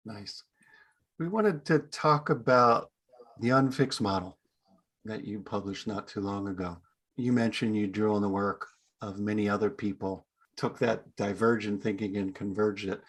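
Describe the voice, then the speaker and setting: A man's voice: on the low side, measured pace, slightly rough. A man hosting a podcast, chatty and friendly, asking short easy questions. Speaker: podcast host. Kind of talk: casual interview hosting.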